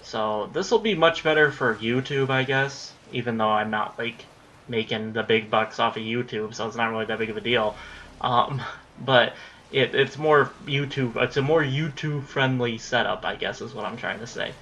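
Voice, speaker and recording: A man's voice: mid-range voice, normal speed, bright, forceful tone, a little hiss or hum. A young man in his late teens rambling casually about his recording plans while playing a game, swearing when things go wrong. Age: young adult. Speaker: gaming YouTuber. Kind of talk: casual commentary over gameplay.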